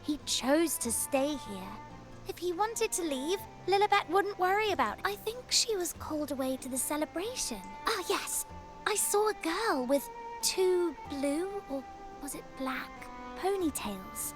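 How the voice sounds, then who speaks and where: A woman's voice: very high voice, expressive, measured pace, music in the background. An actress playing an earnest, polite, girlish novice priestess in a video game, explaining things. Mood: earnest, correcting.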